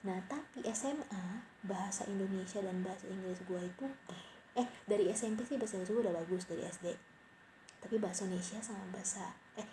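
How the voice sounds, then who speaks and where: A woman's voice: on the low side, gravelly. A young woman rambling casually and mocking herself, sometimes dropping to a whispery aside. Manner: rambling, self-mocking, slangy. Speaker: podcaster. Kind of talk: casual late-night monologue. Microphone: cheap home mic. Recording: noisy home mic recording.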